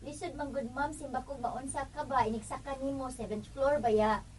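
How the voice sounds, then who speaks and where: A woman playing a polite, concerned condo staffer in a Cebuano Filipino radio drama, sounding quiet and off in the distance. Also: sits high, steady tone, normal speed, lightly acted. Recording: distant, quiet, faint background noise.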